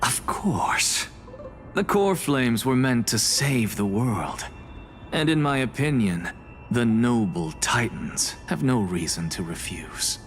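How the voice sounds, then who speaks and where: An actor playing an arrogant young scholar sage, speaking with scorn and cool disdain, in a video game with music behind. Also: male voice, medium pitch, animated. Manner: scornful, dismissive, measured.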